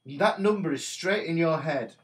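A man's voice: a little high, moderate pace. A man in his forties with a stuffy nose from a cold, casually teaching a guitar lesson from a small home studio while he plays. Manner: chatty, talks while playing guitar. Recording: guitar notes under the voice. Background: guitar playing.

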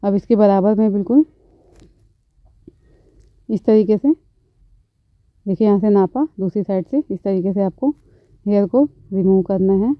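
Woman beautician, calm step-by-step tutorial voice, dry dull phone-recorded audio. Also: mid-range voice, measured pace, pauses often, no echo. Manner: calm, instructive, repeats phrases. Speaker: beautician, channel host.

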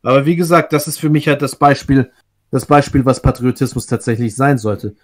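Man, born in russia, casual chat, thoughtful, restarts a lot, mid-pitched. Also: brisk. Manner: thoughtful, restarts sentences often. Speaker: discussion guest.

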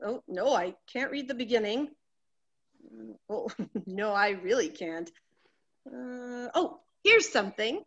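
A high-voiced, anxious wife of middle age, played by a woman, vain and fretful about herself. Her delivery is melodramatic and fluttery, full of exclamations, in the manner of a theatrical stage comedy. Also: animated, slow, fairly bright tone, dull audio, full character voice. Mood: sentimental, flustered.

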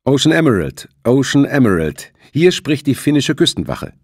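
Man as a high-pitched, formal coast guard officer. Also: sing-song, normal speed, a little mellow, slightly rough, no room sound, clean studio sound. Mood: formal, composed. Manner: formal radio hailing, unexcited. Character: calm, official male coast guard lieutenant.

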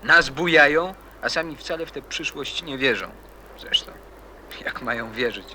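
A man's voice: medium pitch, lively intonation, normal speed, background noise, natural voice. A man playing a rebellious, cynical teenage boy in a radio drama, insistent and moody.

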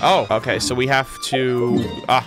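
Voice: medium pitch, level tone, measured pace. A streamer giving informal, reactive live game commentary in a casual, slangy way. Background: game music.

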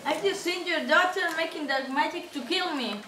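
A woman's voice: very high-pitched, moderate pace, sharp tone. A young woman acting as a cruel, scheming stepmother in an amateur puppet show. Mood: complaining, scheming. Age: young adult. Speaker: student puppeteer. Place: indoor day centre room, puppet booth. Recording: echoey room, distant camera, noisy.

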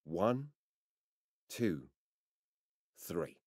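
A man voicing a calm, probing doctor in a horror game, measured, soothing and instructive as he guides a patient. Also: low-pitched, no echo, clean studio sound, full character voice.

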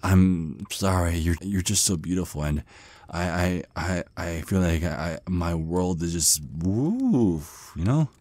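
A man's voice: low-pitched, expressive, slow pace, a little mellow, no echo. A man playing a shy, nervous, smitten vampire on a first date in an ASMR roleplay, stammering and hesitant.

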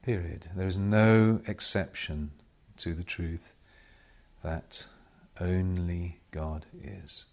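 A man leading a guided meditation as a spiritual teacher, speaking in measured, solemn short phrases with long pauses between them. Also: low-pitched, fairly even intonation, vocal fry. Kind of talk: slow guided meditation talk.

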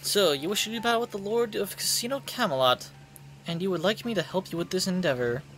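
A man voicing a calm, gentle, queenly goddess from a video game in a measured, formal way. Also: high-pitched, a little hiss or hum, voice pushed up. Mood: composed. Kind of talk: video game dialogue read aloud.

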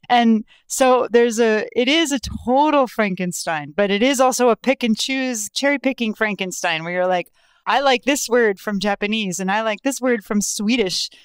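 A woman, an author whose first language is Lithuanian, telling stories in a lively, casual way with frequent laughs. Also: medium pitch, smooth, no echo. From Texas, USA. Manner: animated, laughs often, many fillers. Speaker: author and podcast guest. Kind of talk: casual conversational storytelling.

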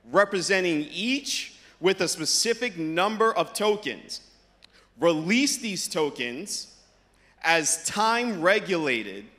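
A middle-aged man with a high voice delivers an upbeat keynote into a handheld mic on a big stage. His points are punctuated with "all right," and the sound carries a long hall reverb. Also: pushed and bright. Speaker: conference keynote speaker. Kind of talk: presenting a tech keynote. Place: large conference stage. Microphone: handheld microphone.

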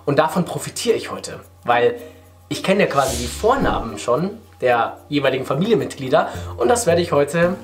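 Young male video host, casual and very animated in pitch, faint music underneath. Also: moderate pace. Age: 20s. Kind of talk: casual talk to camera. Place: small living room, indoors.

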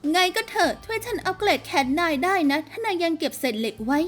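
A woman voicing a bossy, sardonic young rebel leader in a video game fan dub, with a brisk, teasing delivery. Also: very high-pitched, sing-song. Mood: brisk briefing. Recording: music behind the voice.

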